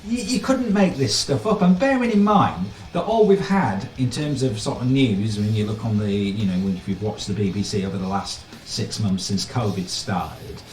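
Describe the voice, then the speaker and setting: A man's voice: lowish voice, expressive, moderate pace, few pauses, a little mellow, faint music behind. A man giving casual, sardonic and incredulous commentary on the news, often tossing out rhetorical questions. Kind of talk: casual opinion commentary, reading an article aloud. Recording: echoey room, mic at a distance.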